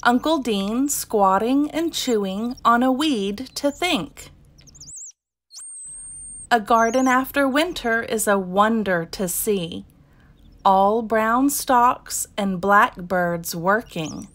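A woman reading a children's picture book aloud as scripted narration, in measured, deliberate phrases with pauses. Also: fairly high pitch, a bit bright. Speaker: storybook reader.

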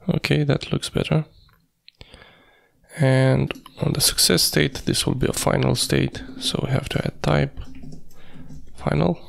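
Creaky-voiced man narrating a coding tutorial. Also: mid-range voice, a bit bright. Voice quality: creaky, gravelly. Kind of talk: screencast tutorial narration, thinking aloud while coding. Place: indoors at a computer, screen recording.

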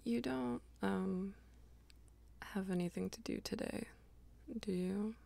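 A woman playing a dominant, teasing female vampire lover in an intimate ASMR roleplay, coaxing and breathy, often dropping toward a whisper. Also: mid-range voice, mellow, full character voice. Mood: seductive, teasing. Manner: slow, coaxing, teasing pet names.

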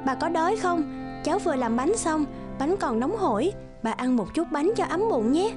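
A woman voicing a kind, polite, soft-hearted young orphan girl in an animated fairy tale. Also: high voice, moderate pace, over music, voice pushed up. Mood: kind, eager.

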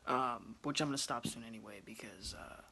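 A man's voice: mid-range voice, brisk, vocal fry, a little hiss or hum. A man in his twenties chatting casually to his phone camera in a small room at home, rambling with lots of ums. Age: 20s. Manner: relaxed, rambling, many ums. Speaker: vlogger. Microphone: phone camera close up.

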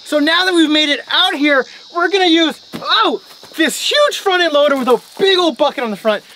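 High, lively woman cheering kids outdoors. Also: normal speed, noisy background. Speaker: mother and family vlogger. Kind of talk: playful family vlog narration. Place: outdoors on a farm, barn and lakeside.

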